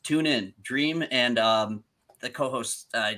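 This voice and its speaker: A man's voice: mid-range voice, slow, bright, forceful tone. A young man hosting a live stream, chatting enthusiastically and praising his guest. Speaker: stream host. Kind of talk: casual live stream chat.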